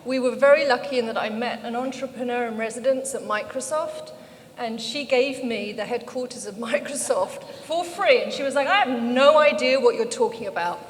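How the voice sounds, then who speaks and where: A woman's voice: a little high, moderate pace. British woman speaking in an echoey hall. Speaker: panel speaker, founder of an organization. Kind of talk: conversational panel talk, personal storytelling. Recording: echoey hall, background noise.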